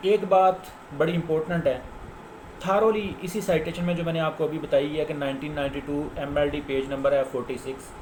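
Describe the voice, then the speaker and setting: A man's voice: on the high side, fairly even intonation, brisk, darkish tone. A man with a Pakistani accent giving an informal explanatory lecture as a lawyer, didactic and repeating key points for emphasis. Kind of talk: informal explanatory lecture citing case law. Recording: echoey room with faint background noise.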